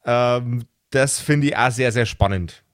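A man's voice: mid-range voice, unhurried, clean tone, dry recording, clean studio sound. A man talking casually and emphatically as a game master, often repeating words for emphasis. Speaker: podcast host and game master. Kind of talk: casual group discussion of a role-play campaign.

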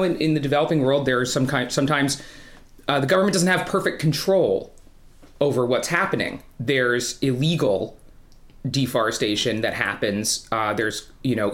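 A man's voice: medium pitch, a bit bright. This is a man with a mid-range voice, talking as a journalist on a panel. He explains in long, winding sentences with some hesitation, unscripted and analytical. The sound is noticeably echoey, as in a live room.

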